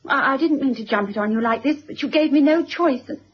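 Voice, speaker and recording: An actress playing a defiant, wounded young daughter in a radio drama, speaking emphatically. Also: female voice, high voice, fast talker, lightly acted. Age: young adult. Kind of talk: 1970s radio drama acting. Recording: muffled old radio recording.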